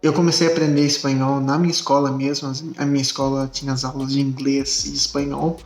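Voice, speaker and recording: A man's voice: mid-range voice, flat delivery, a little fast. Hesitant young man, echoey room. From Brazil. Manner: hesitant, many filler "é" and restarts. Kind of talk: casual self-introduction to camera. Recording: echoey room with faint music.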